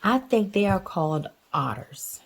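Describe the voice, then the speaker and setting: A woman's voice: medium pitch, big pitch swings, a little slow, breathy edge. A woman reading a picture book aloud as a mother to her young child, chatting casually and encouragingly. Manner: encouraging, explains things to child.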